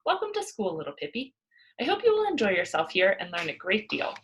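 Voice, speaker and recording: A woman voicing a kindly, patient schoolteacher in a storybook, speaking gently and courteously. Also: mid-range voice, wildly swooping pitch, fairly quick. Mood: welcoming.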